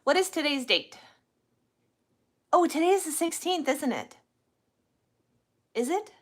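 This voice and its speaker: A woman's voice: sits high, expressive, unhurried. A woman in her forties chatting casually and candidly on a livestream, rambling as she answers viewer comments. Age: 40s. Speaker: livestream host, truck driver.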